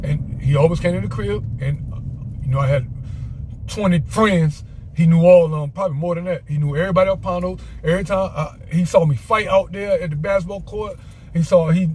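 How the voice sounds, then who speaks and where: High-pitched man telling stories, noisy surroundings. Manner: rambling, repeats himself, boastful asides. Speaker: storyteller vlogger. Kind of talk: casual storytime, off-the-cuff.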